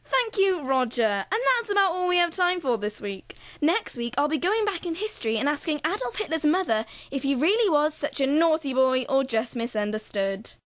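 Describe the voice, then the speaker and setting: Young woman as a self-important history narrator, high voice, dry close sound, comic radio play delivery, grand and touchy. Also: very animated, normal speed, few pauses, fairly bright tone, no echo. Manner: pompous.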